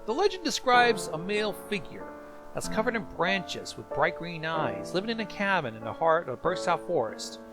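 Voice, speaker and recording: A man's voice: high-pitched, very animated, moderate pace, over music. A man hosting a reaction show, giving casual explanatory commentary on horror videos.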